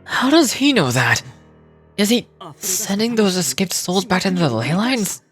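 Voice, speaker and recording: A woman's voice: medium pitch, sing-song, unhurried, pitched below the performer's own voice. Woman playing a watchful game scout, puzzled, musing, inquisitive, faint music. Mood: astonished.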